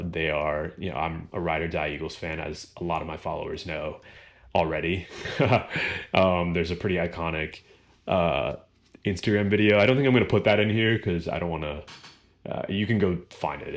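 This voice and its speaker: Young guy w a low baritone voice, laid back rambly q&a vlog chat. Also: normal speed, vocal fry. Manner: relaxed, rambling, lots of fillers and small laughs. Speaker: vlogger answering viewer questions. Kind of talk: casual Q&A chat.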